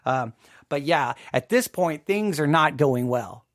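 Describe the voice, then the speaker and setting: A man's voice: a little high, lively intonation, a little slow, dry recording, clean studio sound. A man hosting a podcast with informal personal commentary, emphatic, often repeating and rephrasing himself. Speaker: podcast host.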